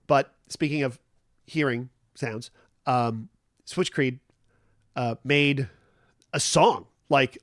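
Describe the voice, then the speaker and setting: A man's voice: medium pitch, fairly bright tone, dry recording, clean studio sound. A man hosting a casual morning livestream chat, stuffed up and nasal from a cold, rambling easily with lots of fillers and restarts. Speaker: livestream host.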